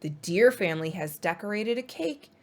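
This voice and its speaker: A woman reading a children's storybook aloud in an expressive, animated and deliberate storytime style. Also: mid-range voice. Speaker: storybook reader.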